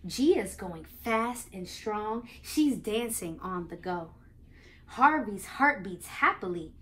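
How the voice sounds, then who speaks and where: A young adult woman with an American accent, reading aloud and explaining to young children in an expressive, playful, sing-song manner. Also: mid-range voice, slow pace. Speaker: children's read-aloud host and teacher. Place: small living room, indoors on a couch.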